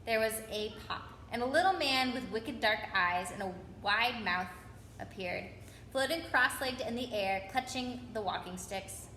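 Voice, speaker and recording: A woman reading a children's novel aloud in an expressive storytelling manner. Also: on the high side, unhurried. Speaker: story reader. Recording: roomy echo with faint background noise.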